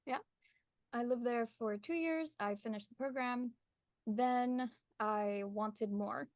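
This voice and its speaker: Brief: young artist guest, woman with an American accent, fairly high voice, friendly and laughing, dry sound. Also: takes it slowly, darkish tone, no room sound. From Colombia. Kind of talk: casual interview chat. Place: her art studio.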